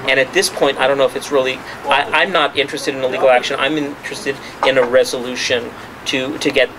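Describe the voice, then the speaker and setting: A man's voice: medium pitch, level tone, fast, a crowd in the background, low-quality recording. A man, a journalist, speaking off the cuff at a press conference in a restaurant, restarting and repeating words as he makes his points. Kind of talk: spontaneous press-conference talk. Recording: clear background noise.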